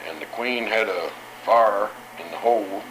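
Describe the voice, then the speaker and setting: A man's voice: on the low side, unhurried, background noise. An elderly man, a war veteran, reminiscing in a plain, matter-of-fact way for an oral history. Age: 80s or older.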